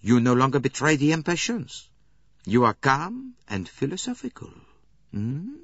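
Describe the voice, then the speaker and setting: A man's voice: mid-range voice, sing-song, a little slow, fairly bright tone, slightly rough, dry recording, muffled recording, pitched above the performer's own voice, exaggerated voice. A man reading an audiobook and voicing a fussy, shrewd older male Belgian detective with precise, courteous phrasing.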